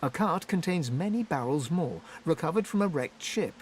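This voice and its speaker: An actor narrating a dark fantasy video game in a solemn, vivid storytelling style. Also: male voice, a little high, animated, moderate pace, a little hiss or hum, close to an everyday voice. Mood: solemn, dramatic. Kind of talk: scripted second-person game narration.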